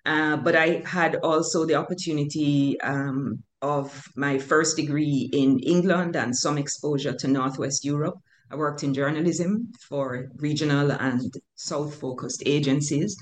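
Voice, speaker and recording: An older woman from Jamaica with a Jamaican accent, answering interview questions in a measured, hesitant way with many fillers. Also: sits low. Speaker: author, guest. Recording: echoey room with faint noise.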